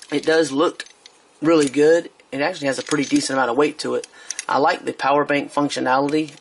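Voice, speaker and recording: A man's voice: medium pitch, gravelly, slight background noise. A man giving a casual product review while he handles a speaker on a tabletop, hesitant, with many fillers and restarts.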